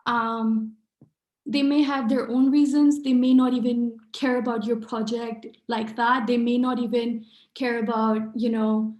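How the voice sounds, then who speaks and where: A woman's voice: fairly high pitch, little pitch movement, a little slow, a little mellow. A young woman from Pakistan, an author speaking at a book event, earnest with many fillers and restarts. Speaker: author and artist. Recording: echoey room.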